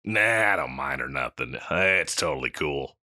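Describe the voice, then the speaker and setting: A man playing a scruffy, insecure slacker catboy pet in a comedic audio roleplay, with a halting, mumbly dude drawl. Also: a bass voice, expressive, bright, forceful tone, no room sound, studio quality, exaggerated voice. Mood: sheepish, insecure.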